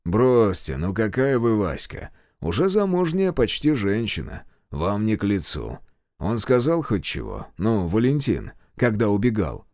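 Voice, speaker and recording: A man's voice: lowish voice, wildly swooping pitch, darkish tone, no echo. A man as a wry Soviet militia major. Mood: calm. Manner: dry, measured, slightly ironic.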